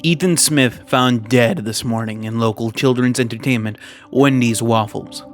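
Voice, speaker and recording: A man with a mid-pitched voice narrates scripted commentary over faint music. He is measured and wry, drawing out the odd word for emphasis like a video essay host. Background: background music.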